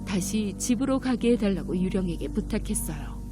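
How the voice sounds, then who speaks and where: A woman narrating a children's fairy tale in a gentle, clear storytelling manner. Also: medium pitch, lively intonation, normal speed. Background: music.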